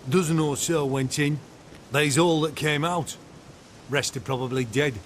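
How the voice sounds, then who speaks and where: A man's voice: fairly even intonation, a little slow, natural voice. An actor playing a surly, contemptuous military commander who hunts witches in a fantasy game, with a brusque, scornful delivery. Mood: scornful, curt. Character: surly, foul-mouthed male witch-hunter commander, contemptuous and hard. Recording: game audio with rumbling ambient noise.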